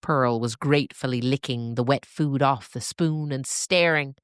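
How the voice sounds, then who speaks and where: Female storyteller, low register, dry studio-like sound, reading scripted narrative. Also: measured pace, clean tone, no room sound.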